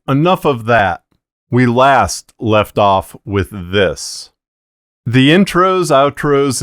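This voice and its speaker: A middle-aged man narrating a scripted explainer to camera in a measured, deliberate way, close on a broadcast mic in a small treated booth. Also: medium pitch, a bit bright, clean tone, no room sound. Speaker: fiction podcaster and narrator. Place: small booth of acoustic blankets, indoors. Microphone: broadcast dynamic mic on boom arm, close.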